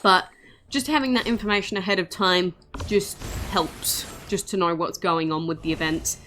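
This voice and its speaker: A woman's voice: on the low side, moderate pace, bright, forceful tone, slight background noise. A woman giving casual, chatty gameplay commentary in an informal, self-deprecating way as a video host.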